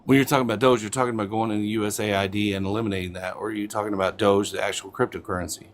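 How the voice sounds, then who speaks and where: A man in his forties calling in to a political stream, talking haltingly with many fillers. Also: on the low side, fairly even intonation, a little hiss or hum. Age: 40s. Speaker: caller. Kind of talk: political call-in discussion.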